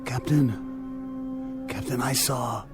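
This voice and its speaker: An actor playing a dying young crewman who speaks in weak, halting, broken words, in a radio drama. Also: medium pitch. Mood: delirious. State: weak, gasping. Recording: music score behind.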